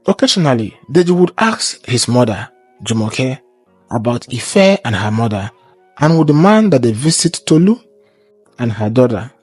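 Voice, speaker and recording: A man narrating a scripted folktale in a measured, formal storytelling way. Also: mid-range voice, sing-song, darkish tone, slightly rough, no echo, soft background music.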